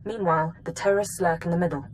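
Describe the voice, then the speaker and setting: A woman playing a composed, efficient intelligence analyst in a video game, giving briefings in a crisp, businesslike manner. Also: on the low side, fairly even intonation, quick pace, a little hiss or hum, natural voice, rough recording.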